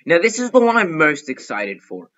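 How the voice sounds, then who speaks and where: A young man talks his way through an online game in a chatty, excitable manner, pleading and fretting out loud. His voice is bright and sharp. The recording is dull and lo-fi, like a cheap desktop mic. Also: fairly high pitch, normal speed. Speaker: gaming YouTuber. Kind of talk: casual gameplay commentary.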